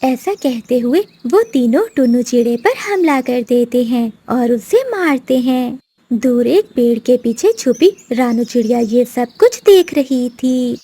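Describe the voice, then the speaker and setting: A woman tells a scripted story for children. Her voice is high and mellow, suited to narration of a simple tale. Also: animated, a little slow, husky.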